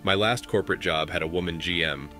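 A man in his thirties hosting a commentary show, reading posts aloud in a steady, measured way. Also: low-pitched, faint music behind. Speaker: commentary host.

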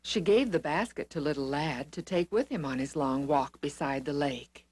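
A woman narrating a children's Bible story in an expressive, deliberate manner. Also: sits low.

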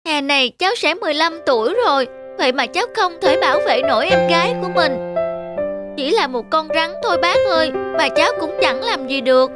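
A woman voicing a timid, honest boy of about fifteen in a cartoon, soft and pleading. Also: high-pitched, animated, brisk, loud music behind the voice. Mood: ashamed. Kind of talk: cartoon voice acting.

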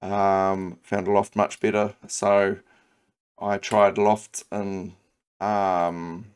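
A man with a New Zealand accent explains a design workflow over a screen recording. His voice sits low and stays fairly flat. He talks casually and thinks out loud, padding his sentences with ums and half-finished restarts. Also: very slow, smooth. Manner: relaxed, thinking aloud with many ums and restarts. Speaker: CAD design tutor. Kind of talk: screen-recorded software tutorial.

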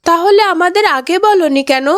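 A woman's voice: extremely high pitch, normal speed, no echo. A woman voicing an earnest, polite young grandchild in a cartoon moral story. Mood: upset.

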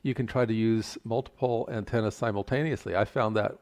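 An older man giving a measured, plain-spoken, step-by-step tutorial explanation. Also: lowish voice, fairly even intonation, a little mellow. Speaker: instructor. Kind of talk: explanatory tutorial narration over slides.

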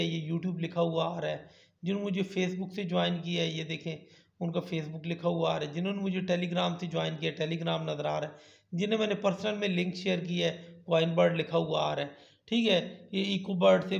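Male host, tutorial voice-over, high and gravelly, echoey lo-fi sound. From Pakistan. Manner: repeats "theek hai" often, turns persuasive and emphatic toward the end. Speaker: channel host. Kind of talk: screen-recorded tutorial talk.

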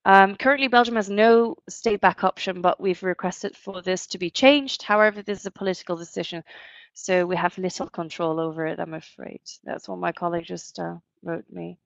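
A woman's voice: lowish voice, fairly quick, creaky, no echo. A woman in her thirties, a university staff member, answering questions on a live webinar through a headset, hesitant with frequent fillers. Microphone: headset on a video call. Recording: dry, dull lo-fi call audio.

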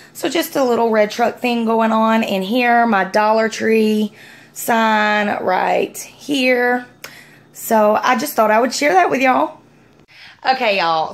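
A young woman with a Southern American accent talks in a fairly high voice. She chats casually, in the manner of a home vlogger filming herself on a phone. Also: fairly bright tone. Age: young adult. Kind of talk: casual chatty vlog. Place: small home rooms and inside a car. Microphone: phone at arm's length.

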